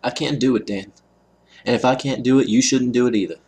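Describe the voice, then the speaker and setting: A man's voice: medium pitch, fairly quick, darkish tone, husky. A man playing an anxious railroad man in a Western fan audio drama, earnest and formal as he pleads and concedes. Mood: pleading, defeated.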